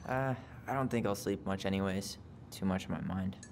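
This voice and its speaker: A man's voice: sits low, normal speed, gravelly, a little hiss or hum. An actor playing an easygoing, sincere young man in a naturalistic video game drama. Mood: reflective, sincere. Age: young adult. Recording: loud music behind the voice.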